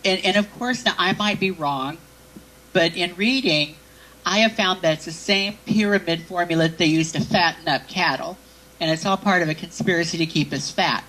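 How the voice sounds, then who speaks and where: A woman's voice: on the low side, a bit bright, low-quality recording. A woman hosting a talk show, leading into her questions with jokes and tangents. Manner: joking, wandering lead-ins to questions. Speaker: radio talk show host. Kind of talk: interviewing a guest.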